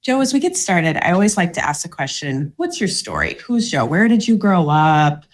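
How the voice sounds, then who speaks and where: A woman's voice: fairly low pitch, big pitch swings, normal speed. A woman hosting a podcast interview, chatty, asking long rambling questions. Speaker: podcast host.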